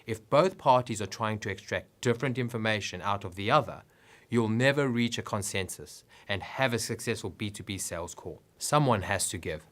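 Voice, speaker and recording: A man's voice: lowish voice, expressive, moderate pace, a bit bright. A man reading scripted business advice narration in an instructive way with emphatic phrasing.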